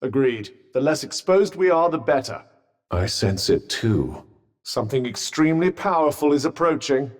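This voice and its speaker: A man's voice: mid-range voice, expressive, normal speed, in a large hall, lightly acted. An actor playing a dry-witted, sardonic male magic bracelet in a video game, speaking with posh understatement. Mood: wry. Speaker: companion character. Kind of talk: game voice lines.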